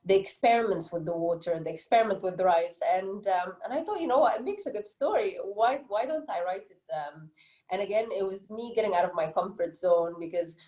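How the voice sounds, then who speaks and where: Female interviewee with Egyptian accent, warm dark timbre, reflective and earnest, conversational, recorded with audible room echo. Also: mid-range voice, animated, brisk. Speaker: interviewed children's book author. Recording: roomy, echoey sound.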